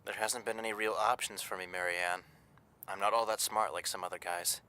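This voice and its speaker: An actor playing a plain-spoken, earnest young hired thug in a 1930s radio drama. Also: male voice, lowish voice, fairly even intonation, brisk, pushed and bright, clean tone. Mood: reassuring, earnest. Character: tough, earnest young male hired thug, devoted expectant father.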